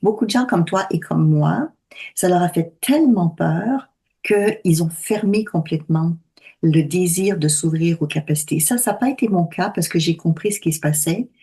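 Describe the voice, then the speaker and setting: A woman in her sixties who works as a medium, explaining calmly and deliberately in conversational talk. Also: on the low side. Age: 60s.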